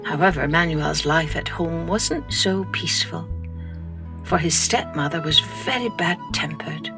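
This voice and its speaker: A woman telling a children's story aloud in an expressive, unhurried way, now and then dropping to a soft whisper. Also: deep voice. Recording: music playing under the voice.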